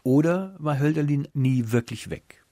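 A man presenting scripted radio introductions and interview questions in a measured, formal way. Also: fairly high pitch, animated, warm tone, no echo, studio quality.

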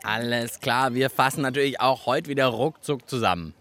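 A man's voice: mid-range voice, normal speed, no echo. A man hosting a children's radio show in a playful, chatty manner. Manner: playful, animated. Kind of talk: lively children's show chat.

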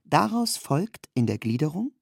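A narrator reading scripted literary prose with deliberate, emphatic phrasing in a creaky, husky voice. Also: medium pitch, very animated, no room sound, studio quality.

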